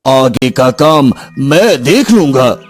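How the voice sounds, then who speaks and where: Casting: male actor for wise cartoon healer, solemn and authoritative, dramatic pauses, drawn-out delivery over faint music. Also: medium pitch, sing-song. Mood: instructing. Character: wise sage healer, grave and commanding. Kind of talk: Hindi fairy tale cartoon dubbing.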